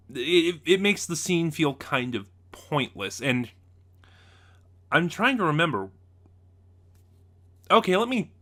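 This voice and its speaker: A man giving a casual, unscripted review as a reviewer, speaking haltingly and often losing his thread. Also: a little high, pushed and bright. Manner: halting, loses his train of thought.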